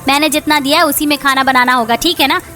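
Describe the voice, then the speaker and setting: A woman's voice: sits high, steady tone, quick pace, pushed and bright, soft background music. A woman voicing a stingy, domineering, middle-aged mother-in-law in a cartoon story, taunting and haranguing. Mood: scornful, bossy.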